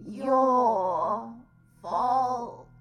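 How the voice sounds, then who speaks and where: A very slow, wailing ghost. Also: female voice, a little high, dull audio, faint music behind. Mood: anguished, accusing. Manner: slow, drawn-out, anguished. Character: accusing, wailing vengeful ghost of a wronged politician. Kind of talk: satirical radio play acting.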